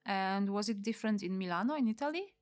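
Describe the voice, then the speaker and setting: A woman's voice: medium pitch, lively intonation, quick pace. A woman from Poland interviewing a guest, asking short follow-up questions in English. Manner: prompting, asks follow-up questions. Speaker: interviewer, host.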